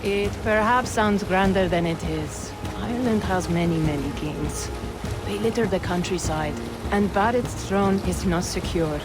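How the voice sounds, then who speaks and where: A woman playing a worldly, wry trader in a video game, speaking in measured, formal phrases with playful poise. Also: mid-range voice, natural voice. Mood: storytelling. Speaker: trader character. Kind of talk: scripted video game dialogue. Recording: music behind the voice.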